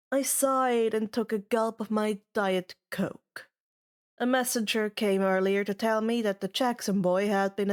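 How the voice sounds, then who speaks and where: A woman playing a jaded, sardonic male god in an audiobook, with a deadpan drawl. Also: medium pitch, lightly acted.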